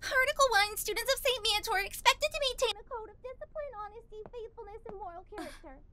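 A woman's voice: very high-pitched, fast, bright, forceful tone. A woman voicing an earnest, flustered teenage schoolgirl in an anime fan dub. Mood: apologetic.

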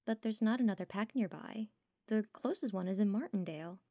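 A woman plays an anxious young-pack werewolf woman with a creaky, mid-pitched voice. She speaks with worried hesitation in a dry audio drama recording. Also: fairly quick, warm tone, no echo, close to an everyday voice. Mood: concerned. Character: anxious female werewolf packmate named Gretchen.

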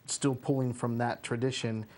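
A man's voice: mid-range voice, level tone, moderate pace, darkish tone. A man in his thirties hosting a community TV interview show from a small studio desk, asking friendly, easygoing questions into a lapel mic. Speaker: host.

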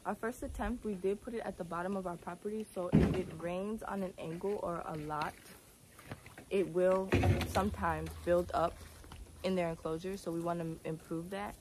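A woman's voice: lowish voice, steady tone, moderate pace. Young woman vlogging outdoors on a farm. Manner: chatty, explaining while walking around a farm. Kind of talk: casual vlog narration. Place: outdoors on a rural property with donkeys. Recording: outdoor phone audio with clear background noise.

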